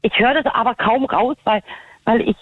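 A woman's voice: fairly high pitch, steady tone, no echo. Woman, Berlin accent, hesitant caller, tinny phone line, dry sound. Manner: halting, hesitant. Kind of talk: call-in radio chat.

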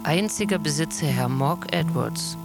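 A snooping wife in a radio play, reading slowly with relish in a low, creaky voice. Loud plucked guitar music plays behind her. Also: expressive. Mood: nosy, intrigued. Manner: slow, savouring each item. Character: snooping, curious wife reading letters aloud.

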